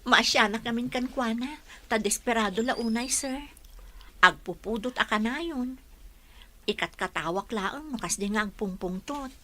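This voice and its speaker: A woman's voice: fairly high pitch, measured pace, sharp tone, slight background noise. An actress playing a young, caring female caregiver in a radio drama, speaking politely. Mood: concerned. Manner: polite, addresses others as sir.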